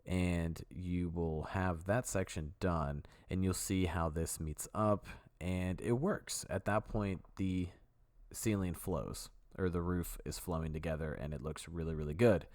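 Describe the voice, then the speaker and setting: A man with a very low voice talks through a building tutorial as a relaxed voice-over. He is sick and a bit sniffly, and he rambles easily and corrects himself along the way. The sound is dry and close, with no room around it. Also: measured pace, no echo. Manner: easygoing, rambling, self-correcting. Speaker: video tutorial host. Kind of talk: casual voice-over walkthrough.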